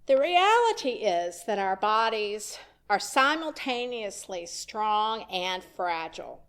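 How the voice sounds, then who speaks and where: A woman minister giving a reflective sermon in a church, speaking in a slow, measured way. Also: medium pitch, very animated, a bit bright, clean tone. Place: church sanctuary.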